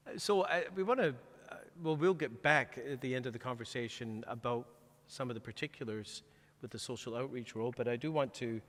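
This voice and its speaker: A man with a mid-pitched voice hosts a live church interview as a pastor. The long reverb of a large hall trails his words. His delivery is conversational and halting, often rephrasing as it goes. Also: a bit bright. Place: church, before the altar.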